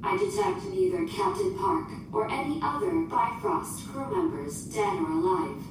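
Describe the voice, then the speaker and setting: A woman playing a literal, formal and emotionless ship computer in a science fiction audio drama, speaking in measured, precise official phrases. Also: on the high side, big pitch swings. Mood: flat, compliant. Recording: echoing, distant, noisy ship ambience.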